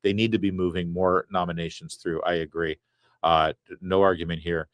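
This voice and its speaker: A man's voice: low-pitched, little pitch movement, no echo. Dry-voiced male live-stream host. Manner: chatty, wry, quick asides. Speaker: talk show host reading viewer super chats. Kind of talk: casual live-stream chat. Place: studio set.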